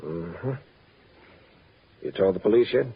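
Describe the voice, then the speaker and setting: A man's voice: low-pitched, expressive, takes it slowly, creaky, husky, exaggerated voice. An actor playing a guarded, businesslike married man of means, curt and stiff, in an old radio drama on a muffled, noisy recording. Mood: suspicious, curt. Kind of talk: mid-century radio drama acting.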